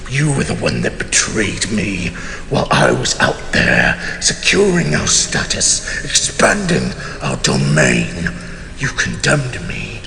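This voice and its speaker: A man playing a proud, betrayed immortal mage king in a fantasy audio drama, with theatrical, measured, imperious speech and a menacing, bitter temper. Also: on the low side, wildly swooping pitch, vocal fry, sharp tone, full character voice. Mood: bitter, accusing. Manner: theatrical, measured, imperious, often dropping to a whisper. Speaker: voice actor. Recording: long cavernous reverb, music underneath.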